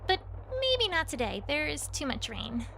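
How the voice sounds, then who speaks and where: A woman plays a curious, bookish young female pony creature in an audio drama. The voice is high and bright with lively swoops, and the sentences are careful and simple, like a new language learner's. Some background noise sits behind it. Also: moderate pace, vocal fry, exaggerated voice, low-quality recording. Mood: earnest.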